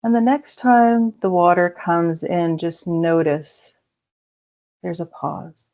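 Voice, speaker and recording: A woman leading a guided meditation in a slow, soothing way with gentle pauses. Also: lowish voice. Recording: dull lo-fi recording.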